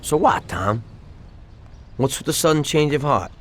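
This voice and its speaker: An actor playing an excitable young gangster who talks in street slang and breaks into sudden outbursts. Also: male voice, on the high side, a little slow. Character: excitable young male gangster, tempted by loot. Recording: game audio with street noise.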